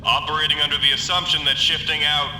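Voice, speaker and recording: An actor playing a formal official making a measured emergency announcement through a loudspeaker in an audio drama. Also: male voice, flat delivery. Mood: formal, grave. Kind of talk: scripted public-address announcement. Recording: echoey distant loudspeaker with faint rumble.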